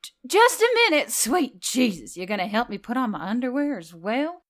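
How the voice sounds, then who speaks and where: Woman acting a flustered, high-voiced young woman. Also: sing-song, moderate pace. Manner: exasperated, sweary exclamations. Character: flustered, anxious, foul-mouthed young woman adventurer.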